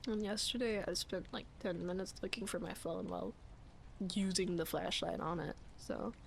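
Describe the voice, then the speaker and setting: A woman acting as a sleepy, flustered young roommate in an intimate roleplay ASMR, murmuring hesitantly and often whispering, shy and playful. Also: fairly low pitch. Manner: hesitant, halting, breathy murmuring with sighs. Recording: clear background ambience noise. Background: rain ambience.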